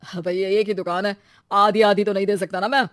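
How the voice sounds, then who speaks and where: A woman's voice: mid-range voice, fairly quick, no room sound, studio quality, lightly acted. A woman voicing a matter-of-fact, unyielding male shop landlord with a dismissive manner in a storybook style.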